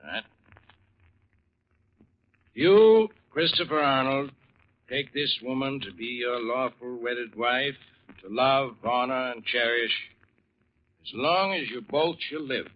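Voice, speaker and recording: An actor playing a folksy, obliging and easily puzzled small-town judge in a vintage radio thriller. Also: male voice, mid-range voice, slow, pauses often, lightly acted. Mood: genial, unhurried. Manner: folksy, drops words. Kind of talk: 1940s radio thriller acting. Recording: muffled, noisy vintage radio recording.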